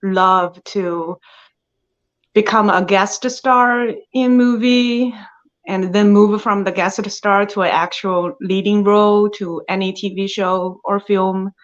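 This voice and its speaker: A young woman speaks English with a thick Chinese accent. She talks slowly, with pauses and restarts, and laughs often between phrases. The recording sounds dull and a little lo-fi. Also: medium pitch, steady tone. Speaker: actress, interview guest. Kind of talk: casual interview chat.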